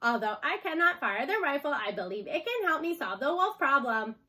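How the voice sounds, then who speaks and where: A woman voicing a calm, dutiful female farm robot in a storybook, speaking in a measured, formal way. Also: high voice, voice pushed up, full character voice. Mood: firm, composed.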